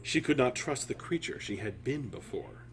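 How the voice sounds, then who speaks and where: A man telling a fantasy story in a solemn, theatrical way, with deliberate phrasing and dramatic pauses. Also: medium pitch, sing-song.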